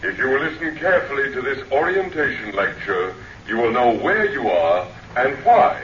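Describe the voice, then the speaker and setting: A man's voice: mid-range voice, big pitch swings, muffled recording, a crowd in the background, close to an everyday voice. Man playing dignified alien orator, echoey and distant. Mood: soothing, formal. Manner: formal, oratorical, deliberate. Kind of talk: old radio drama speech. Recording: echoey, distant, noisy vintage radio recording.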